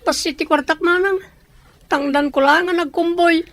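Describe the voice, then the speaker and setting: A woman's voice: extremely high pitch, fairly even intonation, normal speed. A woman playing an alarmed, pleading younger sibling in a radio drama, with a Filipino accent.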